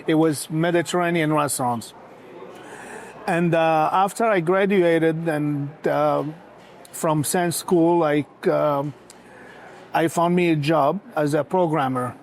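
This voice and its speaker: A man from Morocco, a restaurant founder talking as a guest in a casual interview, pausing often with filler sounds. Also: a little high. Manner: hesitant, many filler sounds.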